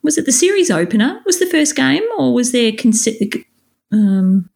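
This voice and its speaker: An Australian woman co-hosting a casual podcast chat, upbeat with quick reactions. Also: fairly high pitch, big pitch swings, vocal fry, a little mellow. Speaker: podcast co-host.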